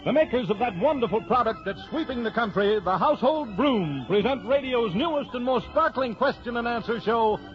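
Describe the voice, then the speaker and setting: High-pitched vintage radio announcer man. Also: expressive, fairly quick, few pauses. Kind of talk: 1940s radio scripted announcing. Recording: muffled old radio recording. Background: loud organ music.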